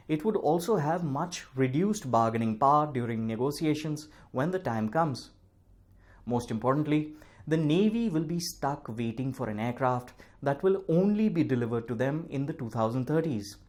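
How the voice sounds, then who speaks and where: A man reads a scripted news explainer as presenter, in a measured, formal manner with deliberate phrasing. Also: medium pitch, a little mellow.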